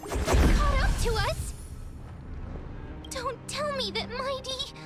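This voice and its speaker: A woman's voice: very high-pitched, slow pace. A woman voicing a small, childlike female character in a video game. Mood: alarmed. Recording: long reverb, music behind.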